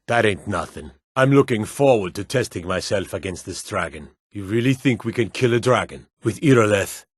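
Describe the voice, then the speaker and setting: A man's voice: lowish voice, animated, moderate pace, husky, no room sound, studio quality. A man playing an earnest, superstitious Nord town guard and soldier in a fantasy video game, speaking in a plain, hardy way. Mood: earnest, resolute.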